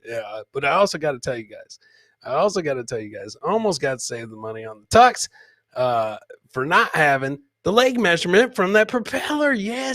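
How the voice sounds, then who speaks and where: A man's voice: a little high, a bit bright, dry recording, studio quality. A man hosting a comedy podcast, casually commenting on funny clips in a folksy, joking way and repeating his lines for effect. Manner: laughs often while talking.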